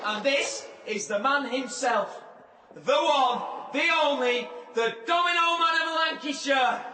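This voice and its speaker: An actor playing an excitable, chatty event coordinator with an eager, matey, showy manner in a radio drama. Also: male voice, very high-pitched, measured pace. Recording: echoey, distant, noisy crowd behind.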